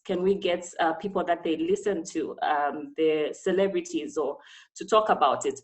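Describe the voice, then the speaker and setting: Woman presenter with a lowish voice, earnest and advising, on a video call. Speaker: webinar speaker.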